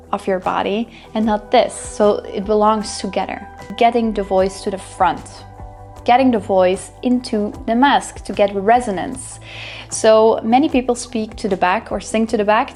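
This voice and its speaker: A woman in her thirties from Belgium, a vocal coach explaining singing technique to camera in a clear, steady teaching manner. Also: mid-range voice.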